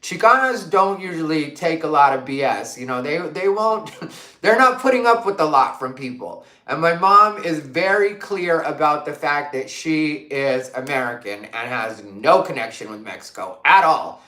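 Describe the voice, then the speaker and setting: A woman's voice: low-pitched, animated, normal speed, pushed and bright, echoey room. A woman from Arizona chatting casually on a livestream, reading comments and firing off emphatic rhetorical questions with lots of fillers. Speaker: livestream host. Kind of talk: casual live stream chat reading comments.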